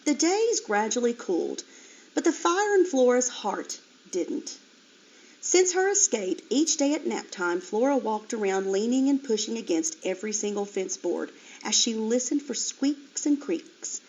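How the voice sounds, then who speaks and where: Lively, expressive woman reading a storybook. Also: on the high side, measured pace, dull audio. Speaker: teacher reading aloud. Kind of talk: storybook read-aloud.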